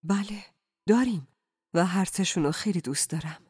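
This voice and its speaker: Woman voicing a calm, softly resolute wife. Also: on the low side, husky, no room sound, clean studio sound. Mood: quietly resolute. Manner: soft, measured, subdued. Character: calm, resolute middle-aged wife confessing love.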